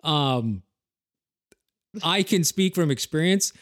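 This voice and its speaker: A man hosting a casual interview, talking informally and haltingly as he thinks aloud. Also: on the high side, animated, a bit bright. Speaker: podcast host, former wildland firefighter.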